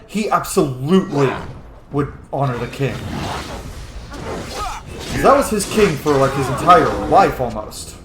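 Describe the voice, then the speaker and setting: A man's voice: fairly high pitch, sing-song, a little slow, long pauses, reverberant, music in the background. A man giving casual, sweary gameplay commentary, talking to the characters as he plays a role-playing game. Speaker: gaming video host playing a role-playing game.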